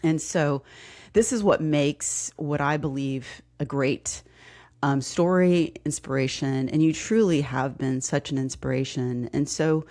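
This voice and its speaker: A woman's voice: low voice, steady tone, measured pace, darkish tone. A woman from Texas hosting a fitness podcast, asking questions in an enthusiastic, encouraging way. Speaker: podcast host and fitness coach. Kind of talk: casual interview chat.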